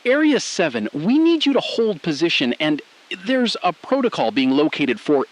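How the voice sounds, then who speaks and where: A high-voiced male mission control official played by an actor, with lively intonation. He hides behind procedure in formal, faltering phrases, in the style of a scripted audio drama. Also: normal speed, poor audio. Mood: officious.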